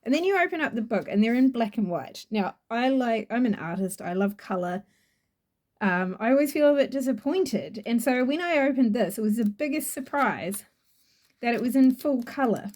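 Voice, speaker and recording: A woman's voice: fairly high pitch, a little mellow. A woman with a New Zealand accent reviewing an oracle deck in a chatty, gushing way, rambling with fillers. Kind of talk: casual product review.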